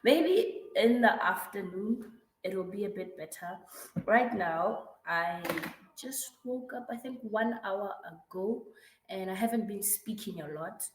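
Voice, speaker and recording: Woman, hoarse raspy voice, dry throat, slow patient explaining, video call, roomy echo. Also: mid-range voice, slight background noise. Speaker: English tutor. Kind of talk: online one-on-one lesson. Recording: echoey room.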